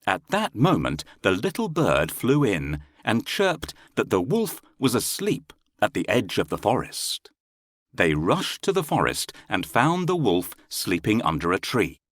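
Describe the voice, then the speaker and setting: A man's voice: on the low side, wildly swooping pitch, unhurried, no echo, clean studio sound. A man narrating a children's fairy tale as a scripted storyteller.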